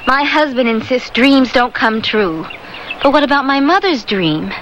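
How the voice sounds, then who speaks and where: An actress playing an anxious, haunted wife in a radio drama, fearful and hesitant in a melodramatic way. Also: female voice, high-pitched, animated, a little slow, a bit bright, a little hiss or hum, low-quality recording. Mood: troubled, confused. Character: anxious, haunted young wife narrating her terror. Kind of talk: radio drama acting and narration.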